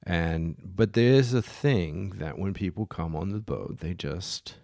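Man, low gravelly voice, dry close sound, easygoing rambling storyteller with lots of fillers. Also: measured pace, a little mellow, dry recording. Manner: rambling, many ums and restarts. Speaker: yacht charter captain. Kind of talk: casual storytelling. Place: Los Angeles.

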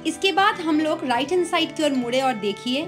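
Very high-pitched Indian woman vlogger. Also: lively intonation, brisk. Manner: enthusiastic, chatty. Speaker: travel vlogger. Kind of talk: casual vlog chat mixing Hindi and English. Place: outdoor city market street. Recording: music and bustling outdoor crowd behind.

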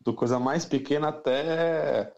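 A man from Brazil, a music teacher and producer, talking through his plans in a relaxed, thoughtful interview. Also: on the high side, creaky, dull audio. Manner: thoughtful, unhurried, many fillers. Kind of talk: casual interview talk.

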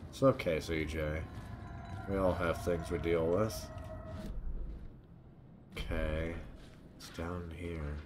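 Male let's-player, very low voice, muttering and swearing casually, game noise behind. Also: flat delivery, slow, pauses often. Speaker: game streamer. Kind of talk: casual let's play commentary. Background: video game ambience.

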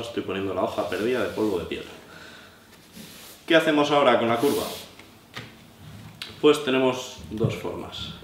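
A man's voice: lowish voice, slight background noise. A man with a La Mancha Spanish accent, explaining step by step how to sharpen a blade while he works at the stone. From Albacete, Spain. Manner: explanatory, practical, thinking aloud while working. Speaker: knife sharpening instructor. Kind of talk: hands-on tutorial demonstration. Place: indoor room at a table, working with a sharpening stone. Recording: roomy, distant sound with scraping of blade on stone.

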